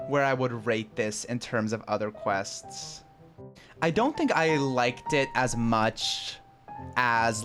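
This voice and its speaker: A game streamer giving casual playthrough commentary, informal and slangy, musing aloud. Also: medium pitch.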